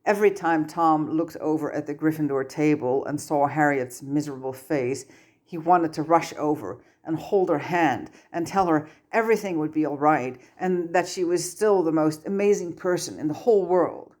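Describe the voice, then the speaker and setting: A woman's voice: low-pitched, moderate pace, darkish tone. A woman reading a story aloud as scripted audiobook-style narration. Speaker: amateur fan fiction narrator.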